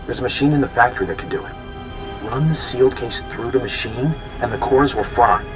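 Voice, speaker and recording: A man's voice: medium pitch, fairly quick, over music, close to an everyday voice. An actor playing an earnest, anxious young man working as an undercover mole in a video game. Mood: urgent, earnest. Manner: earnest, eager, apologetic. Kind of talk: scripted video game dialogue.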